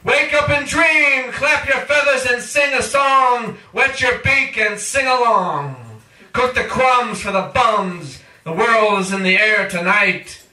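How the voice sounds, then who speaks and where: A man performs spoken-word poetry in a high, piercingly bright voice. He takes his time, stretching and chanting phrases dramatically. The recording is distant, made in an echoey room. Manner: dramatic chanting delivery with drawn-out phrases and cries. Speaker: poet reading own work. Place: indoor live reading at a cafe.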